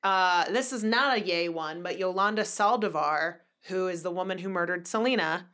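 A woman in her thirties co-hosting a casual chat podcast. She reads out dates with lively emphasis. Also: fairly low pitch, normal speed. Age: 30s. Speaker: podcast co-host.

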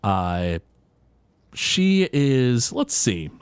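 Lively rambling male game master. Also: mid-range voice, extremely slow, smooth, dry recording. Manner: rambling, many fillers. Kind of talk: narrating a tabletop game.

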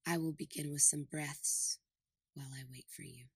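A woman with a low, breathy voice leads a guided meditation. She speaks in short, deliberate, soothing phrases. The sound is close and dry, with no room around her. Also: steady tone, no room sound. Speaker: podcast host and meditation guide.

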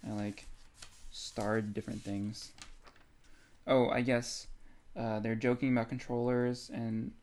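A man with an average-pitched voice talks as a podcast co-host. He is informal and a bit geeky, rambling through his thoughts on books as in a casual chat. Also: level tone, takes it slowly, long pauses, darkish tone. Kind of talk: casual book discussion chat.

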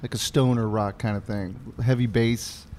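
A man with a voice of middling pitch, worn from singing, talks in a laid-back, friendly way. A faint noise hums behind him. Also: fairly even intonation, moderate pace, darkish tone. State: losing his voice. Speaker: brewery staff guest. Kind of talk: casual chat.

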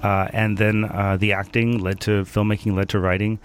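Low-voiced thoughtful man from Denmark. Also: little pitch movement, smooth. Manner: thoughtful, many pauses and fillers. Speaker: guest. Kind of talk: reflective interview answers.

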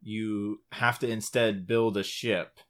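A man's voice: on the low side, fairly even intonation, slow, a bit bright. Male podcast co-host, informal and sweary, casual gaming chat between friends. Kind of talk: casual chat about video games.

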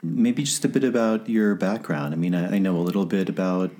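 A man hosts a podcast interview in a very deep, mellow voice. He asks his questions haltingly, circling back and restarting as he goes. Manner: hesitant, with many fillers and restarts. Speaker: podcast host.

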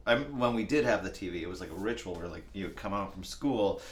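Easygoing podcast co-host, lowish voice, profane and rambling; roomy, slightly noisy sound. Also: male voice, expressive, quick pace. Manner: loose, swears, many "like" fillers. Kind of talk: casual chat.

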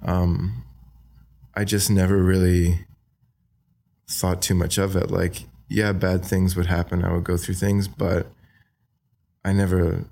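A young man from Australia with an American accent, talking softly and hesitantly as a podcast guest, with many fillers. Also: low voice, creaky. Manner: soft-spoken, hesitant, many ums and likes. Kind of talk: casual interview talk.

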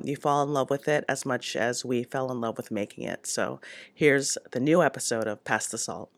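A podcast host giving a friendly, informal intro with a few fillers. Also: male voice, on the high side, no echo.

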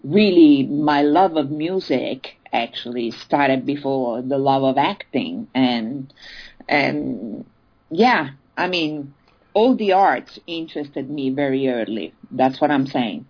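Woman, low and unhurried, chatty interview guest, dull lo-fi sound. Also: animated, husky. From Italy. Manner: chatty, laughs often, many "you know"s. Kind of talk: casual interview.

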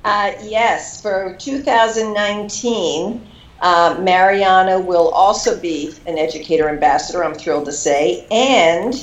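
Low-voiced woman on an echoey line. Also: dull audio. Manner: measured, slightly halting. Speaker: education program manager. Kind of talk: interview answers.

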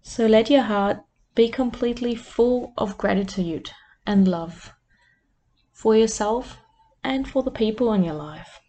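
Slow, soothing woman guiding a meditation. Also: on the high side. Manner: soft, soothing and unhurried. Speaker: life coach and podcast host. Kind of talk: guided meditation.

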